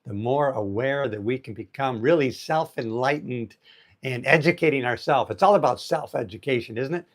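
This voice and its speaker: A man's voice: medium pitch, normal speed. Upbeat man in his sixties, livestreaming. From United States. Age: 60s. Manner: upbeat, greets viewers and chats loosely. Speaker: livestream host. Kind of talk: casual motivational livestream. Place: outdoors on an island in heavy rain. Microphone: phone held in vertical mode.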